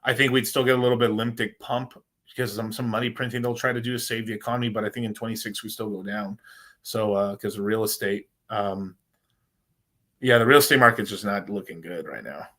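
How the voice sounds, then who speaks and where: A man's voice: lowish voice, fairly even intonation, quick pace, fairly bright tone. A man hosting a casual crypto livestream, rambling in slangy talk and calling his viewers "bro.".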